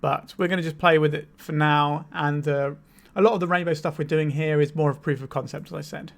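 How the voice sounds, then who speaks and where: A man's voice: on the high side, fairly even intonation, brisk, creaky. A man with a cold, a bit tired, casually explaining a card game deck and thinking aloud as he plays. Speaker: channel host, card game player. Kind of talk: casual gameplay commentary.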